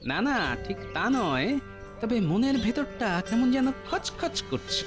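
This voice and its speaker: A clever, unflappable cartoon jester, sounding calm and assured. His voice rises and falls freely, with music running under him. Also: very high voice, a little slow, exaggerated voice. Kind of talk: cartoon voice acting. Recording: studio dub with background music.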